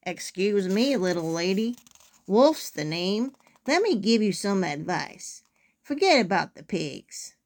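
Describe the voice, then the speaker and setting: A woman's voice: mid-range voice, sing-song, takes it slowly, full character voice. Woman putting on a sly, hungry wolf. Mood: wheedling, weary.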